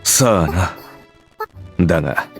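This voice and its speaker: Casting: a calm, dry-mannered warrior servant in an anime visual novel, very low register, light musical backing. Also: male voice, normal speed, faint music behind. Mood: cool, calm.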